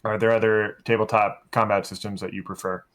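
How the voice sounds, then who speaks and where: A man's voice: lowish voice, level tone, a little fast, fairly bright tone. A man co-hosting a podcast, chatting casually and giving his opinions plainly in short phrases. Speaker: podcast co-host and game master. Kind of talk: casual roundtable chat.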